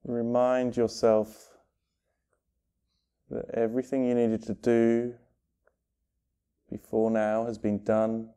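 Slow, very mellow man guiding meditation. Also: medium pitch, level tone, smooth. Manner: unhurried phrases with long pauses. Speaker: meditation guide. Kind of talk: guided meditation, gentle instruction.

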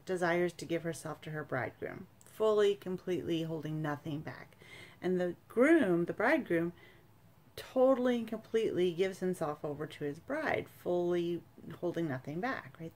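A woman in her forties giving informal religious teaching to camera, explaining emphatically and often checking for agreement. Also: fairly low pitch. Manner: explanatory, emphatic, seeking agreement with tag questions. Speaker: church retreat speaker, sacramental prep coordinator. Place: small home study, indoors.

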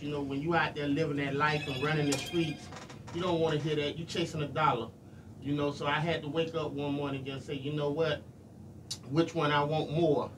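A middle-aged man hosts a casual live radio show, talking colloquially and earnestly and repeating himself. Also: a little high. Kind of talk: casual live radio talk with shout-outs. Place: small radio studio, indoors. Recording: noisy, distant room microphone.

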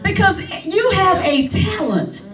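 High-voiced woman pastor preaching in church. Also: very animated, slow pace, over a noisy crowd. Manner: call-and-response preaching, sing-song rises, conversational asides. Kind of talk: sermon. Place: small church sanctuary, preaching at a clear pulpit on a low stage. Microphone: handheld mic, filmed by a far camera. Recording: roomy church sound from a distance with congregation. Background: congregation calling back.

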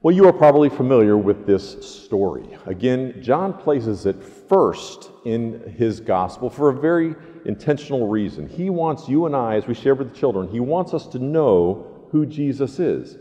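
A man's voice: medium pitch, very animated, moderate pace, few pauses, mellow. Older male pastor preaching in an echoing church. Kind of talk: sermon with scripture reading and prayer. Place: large church sanctuary, indoors. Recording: echoey church sanctuary.

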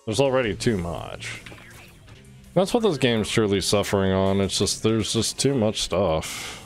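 A man's voice: lowish voice, very animated, a little slow, soft background music. A man doing casual, sweary gameplay commentary as a streamer, chatting loosely to his teammates. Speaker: game streamer.

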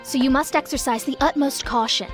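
A woman's voice: sits high, a little fast, soft background music, lightly acted. A woman playing a cheerful young guardian goddess in a game, giving a formal, instructive announcement. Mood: bright, official. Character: cheerful, official young female guardian deity briefing recruits. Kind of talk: scripted announcement.

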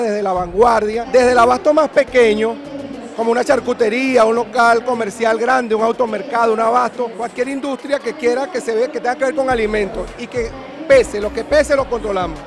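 A man giving an emphatic sales pitch for his company at a busy trade fair booth. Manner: emphatic, list-like promotional delivery. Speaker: exhibitor presenting his company's scales. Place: busy indoor expo booth.

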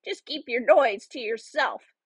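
Woman voicing a wicked, husky old witch. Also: high voice, unhurried, no echo. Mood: impatient, scolding. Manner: scolding, commanding. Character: wicked old witch, cruel, mocking and impatient.

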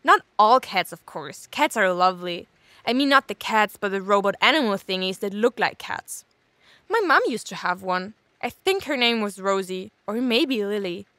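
Woman acting a bright, scatterbrained investigator. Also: medium pitch, animated, normal speed, dry recording. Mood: scattered, musing. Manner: rambling, self-interrupting. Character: scatterbrained, rambling, sarcastic woman investigator recording a log. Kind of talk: audio drama log monologue.

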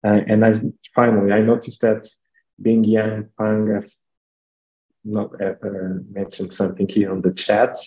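A man speaking at an online meeting, halting and repeating words as he goes. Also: lowish voice, little pitch movement, darkish tone. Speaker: executive director. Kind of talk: casual meeting talk. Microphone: video call. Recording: dull call audio.

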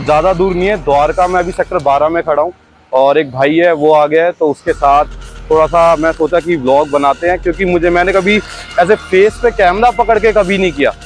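A young man from India chatting casually as he vlogs his day, with music playing behind him. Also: a little high. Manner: chatty, repeats "ठीक है". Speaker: vlogger. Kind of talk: casual vlog chat. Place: outdoors and in a gym.

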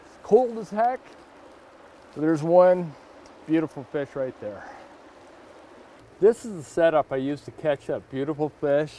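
Middle-aged angler host, mellow excitable voice, recorded outdoors. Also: male voice, on the high side, slight background noise. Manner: excitable, exclaims often. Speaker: fly-fishing video host. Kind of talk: casual outdoor commentary. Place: outdoors on a riverbank.

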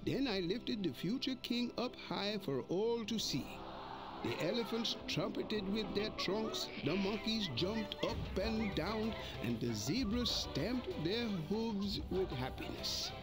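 An actor playing an old male mandrill elder, narrating a storybook read-along in an expressive way. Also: high voice, slow pace. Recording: music playing behind.

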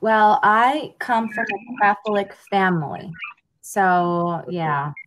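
A young woman from Honduras talking on a headset over a video call, thoughtful and pausing with ums. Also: medium pitch, steady tone, a bit bright, rough recording. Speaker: language learner. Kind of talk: casual video call conversation. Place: home room.

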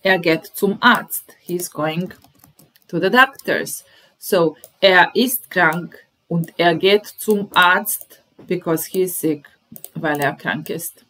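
A woman in her thirties gives a slow, patient language lesson from a small room, speaking into a webcam at her desk. Her voice sits a little low, and she repeats each phrase word by word with unhurried pauses. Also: fairly bright tone, low-quality recording. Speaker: German teacher.

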